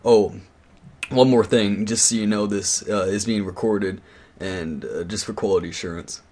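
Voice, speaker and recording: A man playing a stern, accusing attorney on a prank call, questioning in an official but halting way that repeats words. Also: lowish voice, darkish tone, husky. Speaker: prank caller. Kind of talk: interrogation on a prank phone call.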